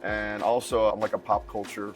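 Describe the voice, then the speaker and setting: Slangy, low-pitched bartender from Miami, male. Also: level tone, moderate pace. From Miami, United States. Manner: laid-back, slangy, says dude and bro. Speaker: bartender and bar co-owner being interviewed. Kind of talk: casual interview chat. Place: inside a bar. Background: music.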